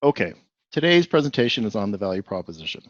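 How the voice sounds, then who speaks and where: A man's voice: on the low side, big pitch swings, fast, no echo, lo-fi sound. A man from Canada with a Canadian accent giving a webinar presentation, rambling and restarting with lots of fillers.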